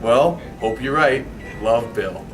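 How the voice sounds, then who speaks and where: A man's voice: mid-range voice, expressive, takes it slowly. A man reading a child's letter aloud. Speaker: staff member reading aloud. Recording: distant mic with music. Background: music.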